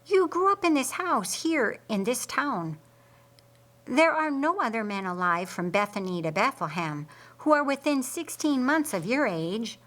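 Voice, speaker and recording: A woman voicing an earnest, curious, easily flustered man in a story, speaking haltingly. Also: on the high side, big pitch swings, fairly bright tone, voice pushed up. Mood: earnest, wondering.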